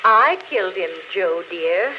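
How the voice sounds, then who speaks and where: An actress playing an unrepentant murderess confessing in an intimate, matter-of-fact way, in 1940s radio drama style. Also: female voice, medium pitch, lively intonation, measured pace, natural voice. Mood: coolly confiding. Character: murderess confessing on a phonograph record, coolly unrepentant. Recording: dull, noisy old radio recording.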